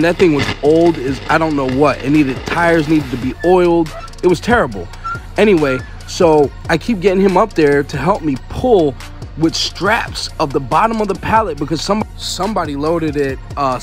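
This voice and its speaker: Trucker vlogger in his thirties, high voice, exasperated and chatty, phone at arm's length, music underneath. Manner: exasperated, chatty, says bro a lot. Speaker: truck driver. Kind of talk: casual vlog to camera. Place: outdoors at farms and loading docks, and in a truck cab. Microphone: phone held at arm's length.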